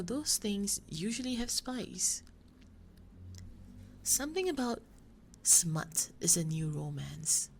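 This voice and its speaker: Slow, flustered young woman writer voiced by a woman. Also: mid-range voice, natural voice. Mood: exasperated rambling. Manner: hesitant, rambling, soft-spoken, self-justifying. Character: flustered young woman romance novelist, shy, rambling roommate. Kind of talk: ASMR roleplay monologue. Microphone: binaural ASMR microphone. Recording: faint noise, binaural microphone.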